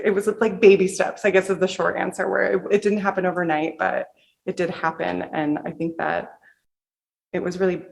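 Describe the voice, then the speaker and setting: A woman's voice: on the low side, creaky, low-quality recording. An American woman author from the Pacific Northwest answering audience questions casually and candidly, with informal, slangy phrasing. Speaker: author at a book reading.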